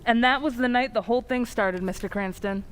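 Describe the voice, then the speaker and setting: A woman's voice: a little high, a little fast, over music, close to an everyday voice, poor audio. A woman playing an earnest, plain-spoken village sheriff in an old-time radio drama, with a grave, matter-of-fact manner. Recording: faint background noise.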